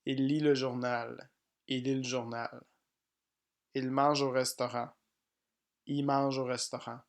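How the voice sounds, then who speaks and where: A man teaching pronunciation, reading each example sentence slowly and plainly, often twice. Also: mid-range voice, level tone, vocal fry. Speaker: French language teacher. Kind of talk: slow pronunciation drill.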